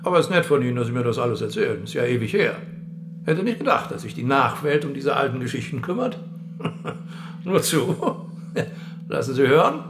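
A dead general of the Weimar years in a radio drama. He is wry and sardonic, sure of himself, tossing off clipped, offhand asides. The voice is gravelly with fry, mid-pitched, and music plays behind it. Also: big pitch swings, fairly quick. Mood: amused nonchalance. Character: dead Weimar-era general, wry, self-assured old-school officer. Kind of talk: German radio play dialogue.

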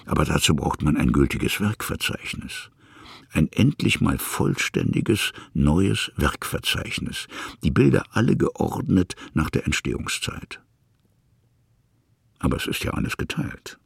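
Male narrator, rock-bottom pitch, thick gravelly fry, husky, measured literary delivery, short phrases. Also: big pitch swings, no room sound.

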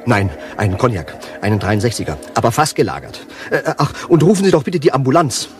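An actor playing an excitable, self-absorbed male bar patron in a satirical radio play, exclamatory and fussy. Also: mid-range voice, brisk, soft background music, natural voice. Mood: fussy, self-satisfied.

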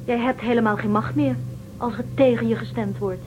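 A woman's voice: on the high side, fairly even intonation, brisk, natural voice. A woman playing a shrewd scheming crewwoman. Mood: determined. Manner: precise, matter-of-fact, calculating. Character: shrewd scheming female crew member. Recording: tinny noisy old radio sound.

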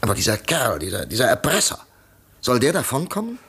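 Gravelly, husky, troubled gentleman. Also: medium pitch, huge pitch swings, exaggerated voice. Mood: anguished. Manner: halting, dramatic, emotional. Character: troubled, grieving wealthy gentleman, agitated and haunted. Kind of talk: 1950s German radio play acting.

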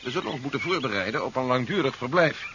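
A man's voice: mid-range voice, lively intonation, fast talker, natural voice. A man acting a practical, wry expedition member, dry and matter-of-fact, in a 1970s radio drama. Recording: muffled, noisy 1970s radio recording.

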